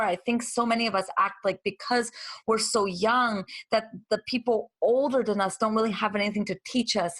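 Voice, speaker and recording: A woman's voice: mid-range voice, no echo. A woman from Brazil who moved to the United States as a child, hosting a reflective conversational interview in an earnest, emphatic way. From Brazil, moved to the United States at seven. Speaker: podcast host interviewing a guest.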